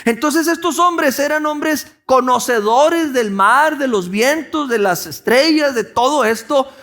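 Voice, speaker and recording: A man's voice: extremely high pitch, unhurried, sharp tone. A man from Mexico, a pastor with a Sonoran coastal Mexican Spanish accent, preaching a sermon in a conversational way, asking the congregation questions and restarting his phrases.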